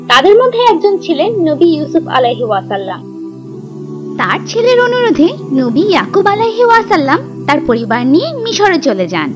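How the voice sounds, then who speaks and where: Very high-voiced woman telling children's stories. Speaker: storyteller. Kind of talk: scripted children's story narration. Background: background music.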